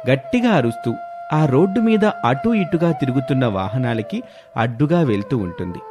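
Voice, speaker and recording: A man reading a scripted children's moral story as narrator. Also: mid-range voice.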